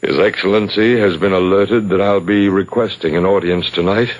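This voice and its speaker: Actor as a deep-voiced, guarded senior club man. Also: fairly even intonation, moderate pace, dull audio, lightly acted. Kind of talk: 1970s radio drama acting. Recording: 1970s radio broadcast recording.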